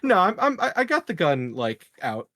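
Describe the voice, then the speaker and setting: A man's voice: high voice, huge pitch swings, moderate pace, fairly bright tone, no echo, clean studio sound. A man playing a tabletop roleplaying game, chatting casually in a jokey, mock-innocent way. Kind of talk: casual joking table chat.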